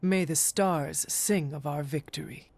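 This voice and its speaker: A woman's voice: low voice, measured pace, creaky, a little mellow, full character voice. A woman playing an earnest, formal warrior from centuries ago in a video game. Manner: formal, stiff, no contractions.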